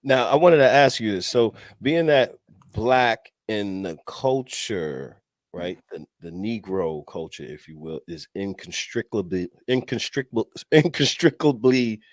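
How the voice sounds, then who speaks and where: A man hosting a talk show, asking questions haltingly with many fillers. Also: on the low side, animated, no echo.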